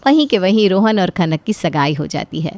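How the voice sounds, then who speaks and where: A woman's voice: fairly low pitch, animated, moderate pace, darkish tone, dry recording. Woman narrating a cartoon story, dry sound.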